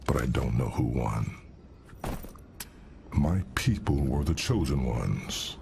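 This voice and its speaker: Very deep, gravelly alien warrior. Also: male voice, lively intonation, noisy background, exaggerated voice. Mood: solemn, reflective. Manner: brooding, grave, deliberate. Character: weary, guilt-haunted alien warrior commander, veteran of holy war. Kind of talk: solemn first-person dramatic narration. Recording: loud music behind the voice. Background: loud cinematic music.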